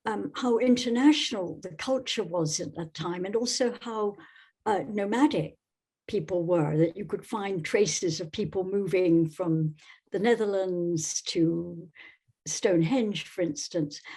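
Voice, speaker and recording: A woman from Scotland giving thoughtful, halting conversational answers as a podcast guest. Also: medium pitch, a little mellow, breathy edge.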